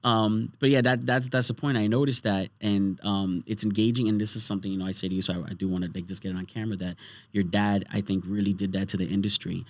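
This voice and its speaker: A man's voice: fairly low pitch, fairly even intonation, quick pace, no echo. A man hosting a casual podcast chat in a studio, rambling with many restarts. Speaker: podcast host.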